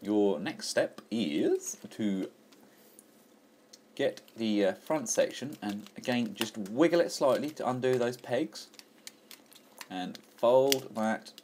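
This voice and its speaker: A man's voice: medium pitch, lively intonation, slow pace, a little mellow, slight background noise. An enthusiastic man chatting casually through a toy review, full of fillers and restarts. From England.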